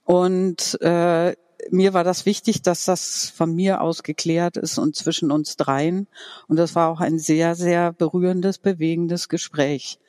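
Slow, thoughtful woman in her fifties. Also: on the low side, fairly even intonation, warm tone, dry recording, studio quality. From Franconia, Germany. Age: 50s. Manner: thoughtful, halting, many fillers. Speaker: podcast guest. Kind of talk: personal interview conversation. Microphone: video call.

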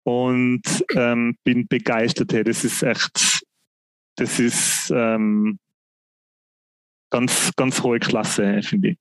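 A man's voice: mid-range voice, level tone, no echo, poor audio. A man, co-hosting a podcast, talking hesitantly with many fillers in casual chat. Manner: hesitant, many "ähm" fillers and restarts, slow when musing. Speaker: podcast co-host.

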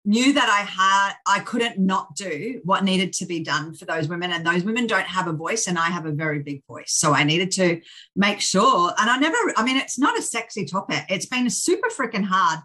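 Australian woman guest, forthright and lively, bright tone; plain-spoken, a touch salty. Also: mid-range voice, moderate pace, breathy edge. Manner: blunt, energetic, plain-spoken. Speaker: charity founder, guest. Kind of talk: candid interview chat.

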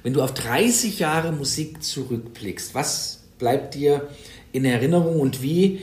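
A man's voice: fairly high pitch, expressive, a little slow, a little mellow, roomy sound. A man hosting an interview, asking chatty questions and rephrasing them when needed. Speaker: interview host.

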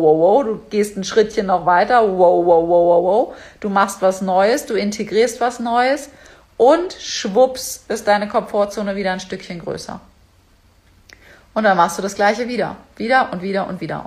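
Female vlogger, casual coaching monologue, speaks straight to the viewer. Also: mid-range voice. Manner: chatty, direct, addresses viewer as du. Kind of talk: casual motivational talk to camera.

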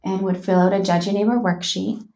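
A woman's voice: mid-range voice, fairly even intonation, a little fast, dark tone. A woman from Canada, a life coach, talking candidly and thoughtfully about her own healing, with frequent "like" and "you know.". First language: English. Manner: frank, thoughtful, many "like" and "you know" fillers. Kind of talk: reflective personal storytelling.

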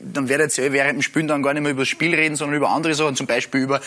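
A man's voice: mid-range voice, steady tone, racing, bright, forceful tone. A man from Upper Austria, interviewing a footballer in a chatty, joking way. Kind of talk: casual interview questions.

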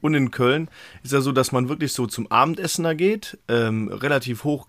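A man in his thirties co-hosting a podcast, chatting in a relaxed, hesitant way with frequent restarts. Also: mid-range voice, normal speed. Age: 30s. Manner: relaxed and hesitant, with restarts and short approving interjections. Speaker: podcast co-host. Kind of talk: casual chat.

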